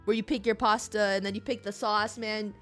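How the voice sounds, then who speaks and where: A woman's voice: mid-range voice, level tone, brisk, a bit bright. A woman streamer giving casual, slangy and emotive live commentary on a game. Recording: game music behind voice. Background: game music.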